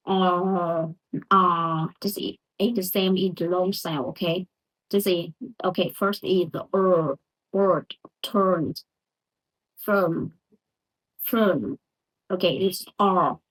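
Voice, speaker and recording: A woman's voice: medium pitch, fairly bright tone, rough recording. A woman with a Vietnamese accent, a Vietnamese native speaker, giving a hesitant pronunciation practice lesson in broken English with many restarts. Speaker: English learner vlogger.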